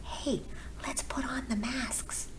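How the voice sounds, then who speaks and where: Woman voicing a high-pitched brave little storybook girl. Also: fairly even intonation, slow, in a whisper, rough recording. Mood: curious, eager. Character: young girl, brave, gentle, eager adventurer. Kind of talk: storybook character reading.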